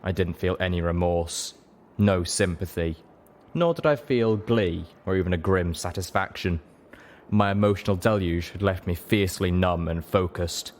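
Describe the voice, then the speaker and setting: A man reading a dramatic story as an audiobook narrator, measured, solemn and emotive. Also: low voice, darkish tone. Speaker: audiobook reader.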